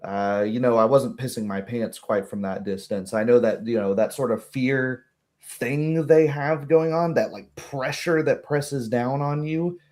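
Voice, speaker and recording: A man voicing a small, blunt gnome woman in a tabletop game, rambling informally and haltingly as she explains things. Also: mid-range voice, darkish tone, natural voice. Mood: uneasy, blunt. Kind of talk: tabletop roleplay dialogue.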